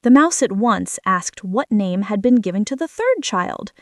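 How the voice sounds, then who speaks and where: A woman storyteller reading a fairy tale to children in expressive scripted narration. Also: mid-range voice, unhurried, no echo, studio quality.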